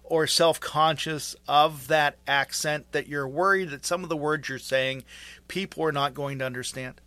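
A man's voice: fairly high pitch, steady tone, moderate pace, bright, forceful tone, no room sound. A man from western Pennsylvania with a local accent, hosting an interview and asking curious, rambling questions. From western Pennsylvania, United States. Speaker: radio and podcast host. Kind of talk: casual interview chat.

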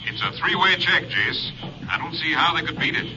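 An American actor playing a brisk, no-nonsense lawman in charge, clipped and matter-of-fact, in a 1950s radio drama. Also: a little high, expressive, sharp tone. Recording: dull old radio recording, faint noise.

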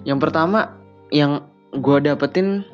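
A man's voice: fairly high pitch, unhurried, dull audio, soft background music. A young man from Bandung hosting a podcast, telling personal stories in casual slangy chat and acting out childhood dialogue in a raised voice. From Bandung, West Java, Indonesia. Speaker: podcast host.

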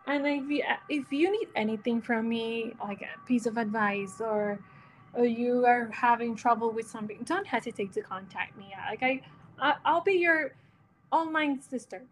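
Woman guest, slightly high pitch, warm and earnest, pauses to find words. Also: a little hiss or hum, low-quality recording. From Latin America. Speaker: podcast guest, interior designer. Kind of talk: casual chat, encouraging advice.

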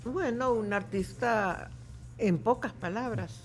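A woman's voice: fairly high pitch, takes it slowly. A woman in her seventies from El Salvador with a Salvadoran accent, a guest chatting reflectively in a studio interview. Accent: Salvadoran Spanish. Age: 70s. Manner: reflective, chatty, laughs easily. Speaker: guest artist. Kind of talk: casual interview chat.

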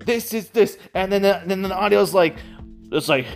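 An excitable, giggly speaker rambling casually about a favourite hobby, often repeating and stammering over words. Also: mid-range voice, moderate pace, gravelly, faint music behind. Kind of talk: casual rambling monologue about audio dramas.

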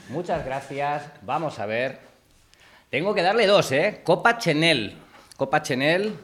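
A man's voice: on the high side, expressive, takes it slowly. A man hosting a talk show, chatting casually and teasing his guests as he wraps up. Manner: jovial, teasing, thanks guests warmly. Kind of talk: casual chat, wrapping up the show.

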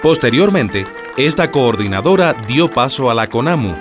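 Animated male radio drama narrator. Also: medium pitch, normal speed, music in the background. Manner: dramatic, clearly phrased.

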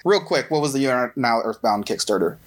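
A man's voice: mid-range voice, expressive, fairly quick. A man hosting a casual podcast, talking in a rambling, self-deprecating, dryly joking way. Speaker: podcast host at a game merchandise company. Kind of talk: casual chat, self-introduction.

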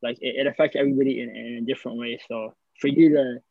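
A man's voice: mid-range voice, steady tone, fast, no echo, narrow, tinny audio. A man co-hosting a podcast in casual chat, talking loosely with many "like" and "you know" fillers. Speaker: podcast co-host.

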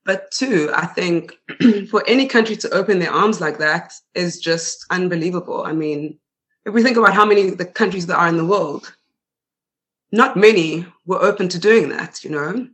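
A woman's voice: fairly low pitch, normal speed, a bit bright. A young South African woman with a South African accent, chatting as a guest in a casual interview and laughing often.

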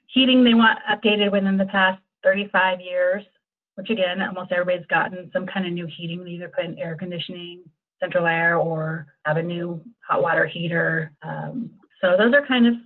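A woman's voice: fairly low pitch, fairly even intonation, brisk. American woman insurance agent explaining stuff casually, chatty, sounds like a phone line. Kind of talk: conversational expert explanation. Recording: thin, phone-like call audio.

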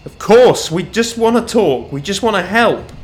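A man's voice: high-pitched, lively intonation, moderate pace, a little hiss or hum, pitched above the performer's own voice. Man acting an urgent, commanding city watchman. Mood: desperate. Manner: forceful, urgent appeals.

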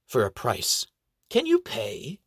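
A man's voice: mid-range voice, huge pitch swings, takes it slowly, breathy edge, no echo, clean studio sound, heavily acted. A man voicing a wily old gray-haired male elf who haggles with merchant charm. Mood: cheeky. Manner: cheeky, wheedling, merchant charm.

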